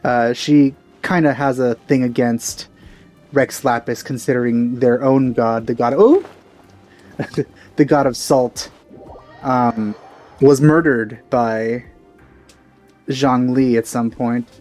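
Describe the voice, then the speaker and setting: A man's voice: medium pitch, steady tone, takes it slowly, long pauses, darkish tone. Male let's play host, mid-range voice, offhand and rambling casual commentary over game music.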